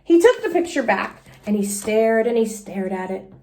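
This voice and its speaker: A woman teacher reading a children's storybook aloud in an expressive, explanatory way. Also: fairly high pitch, moderate pace.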